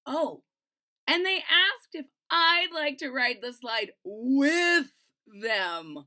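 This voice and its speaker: A woman voicing a nervous little male bean with a fretful, quavering manner and shrill cries. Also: expressive, extremely slow, bright, forceful tone, heavily acted. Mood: relieved.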